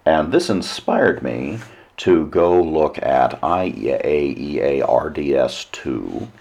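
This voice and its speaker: A very deep, gravelly male voice, hoarse and nearly gone, speaking slowly. He delivers a solo radio monologue in a measured, lecturing way with dry little asides. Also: animated, a little mellow, highs cut off. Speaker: radio show host.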